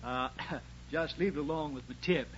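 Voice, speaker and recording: An actor playing a chatty, droll small-town barber in 1940s radio comedy, repeating himself and tagging on afterthoughts. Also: male voice, on the high side, a little slow, natural voice. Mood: droll, chuckling. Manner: rambling, repeats himself, tags jokes with "though". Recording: muffled, faintly noisy 1940s radio recording.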